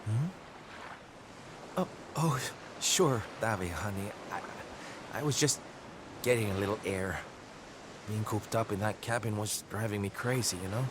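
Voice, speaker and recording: An actor playing a theatrical, sensitive male adventurer in a video game, downcast and tender, speaking in a halting, dramatic way. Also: fairly low pitch, lightly acted. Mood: evasive. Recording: clear noisy ambience under the voice.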